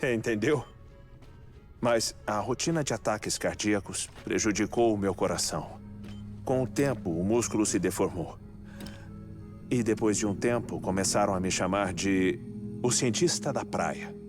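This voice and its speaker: Man acting low-voiced, eccentric Brazilian grieving scientist. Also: normal speed, lightly acted. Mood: obsessive, sorrowful. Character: eccentric, obsessive, grieving male scientist, courteous and reflective. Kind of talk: video game cutscene dub acting. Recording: music under the voice.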